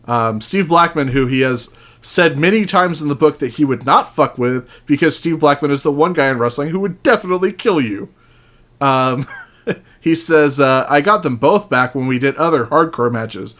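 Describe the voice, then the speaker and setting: A male podcast guest with a fairly high voice and very animated intonation. He talks casually and reads book passages aloud. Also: normal speed. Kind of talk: casual chat with read-aloud book excerpts.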